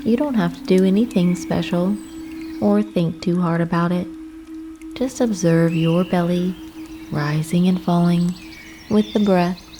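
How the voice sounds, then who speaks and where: A woman narrating a guided meditation, giving gentle, soothing instructions with unhurried pauses. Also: on the low side, noisy background. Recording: clear nature ambience with birdsong. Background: birdsong and nature ambience.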